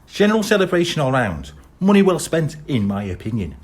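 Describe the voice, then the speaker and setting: A man's voice: a little high, big pitch swings, brisk, voice pushed up. A man voicing a streetwise male talking cat with a wheedling, persuasive patter in an audiobook. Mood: scheming.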